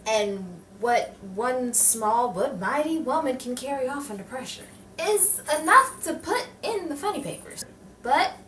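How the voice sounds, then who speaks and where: High, lively noir newswoman played by an actress. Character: sardonic woman newspaper reporter in a noir story. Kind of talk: old-time radio noir acting and narration. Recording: roomy distant stage recording, faint noise.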